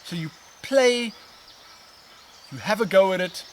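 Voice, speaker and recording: A man, casually answering viewer questions in a relaxed, expressive, rambling way as a vlog host. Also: sits high, slow pace. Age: 20s. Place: outdoors on a riverbank in woodland. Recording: outdoor natural background noise, birds. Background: outdoor ambience with birds.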